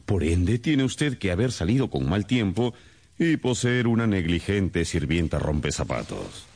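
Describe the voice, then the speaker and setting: A brilliant, condescending detective from a radio drama. The voice is low with a creaky edge and leaps theatrically up and down as he lectures. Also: very animated, unhurried, a little mellow, heavily acted. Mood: lecturing, self-assured. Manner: theatrical, didactic, pompous.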